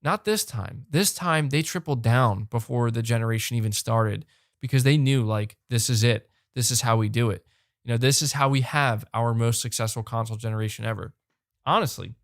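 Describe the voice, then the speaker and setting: A young man hosting a live podcast, talking fast and animated, repeating words when fired up and losing his voice. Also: mid-range voice, no room sound, clean studio sound. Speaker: podcast host reading super chats. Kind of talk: excited live-stream chat. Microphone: close desk mic.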